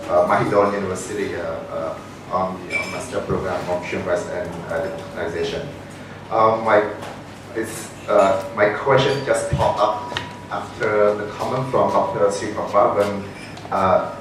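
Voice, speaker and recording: A man with a fairly low voice puts a question to a panel from the floor, stumbling through fillers and restarts. He sounds far from the microphone in a big echoing room with steady noise behind him. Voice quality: halting, many fillers and restarts. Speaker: audience member asking a question. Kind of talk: hesitant question at a panel discussion. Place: large conference room. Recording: echoey hall from far off, noisy.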